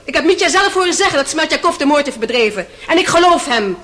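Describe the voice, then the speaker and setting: An actress playing a defiant, indignant young Russian mistress on the witness stand in a radio play, blunt and accusing. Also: female voice, sits high, expressive, fast talker, fairly bright tone. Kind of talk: radio play courtroom acting.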